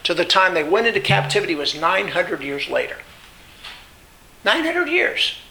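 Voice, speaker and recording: Man, Bible teacher, high-set voice, conversational sermon delivery, emphatic repetition, question-and-answer phrasing, noisy recording. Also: pushed and bright. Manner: didactic, asks and answers own questions. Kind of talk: informal expository sermon.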